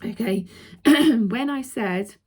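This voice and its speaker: A woman with a croaky throat doing a casual card reading for her viewers. She speaks chatty and direct, often checking in with "okay?" and laughing between points. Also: on the high side, very slow. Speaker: intuitive card reader.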